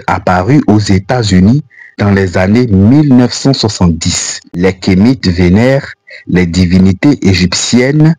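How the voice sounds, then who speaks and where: A man's voice: deep voice, darkish tone, dry recording. An African man whose first language is not French, reading an earnest, admonishing voice-over commentary for a religious channel. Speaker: Islamic channel narrator.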